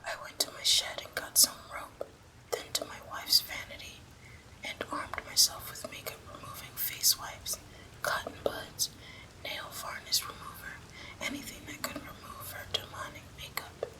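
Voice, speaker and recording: A woman tells a creepy tale in a breathy whisper, in the manner of an ASMR storyteller. Her delivery is hushed and deliberate, with almost no voiced sound at all. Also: very high-pitched, wildly swooping pitch, rough recording.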